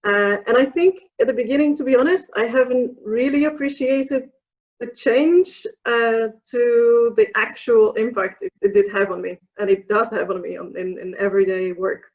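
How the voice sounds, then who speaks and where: A woman's voice: a little high, fairly even intonation, moderate pace, muffled recording. A woman from Poland with a Polish accent, in her thirties, answering interview questions thoughtfully, with many fillers and restarts. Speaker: interview guest, program alumna.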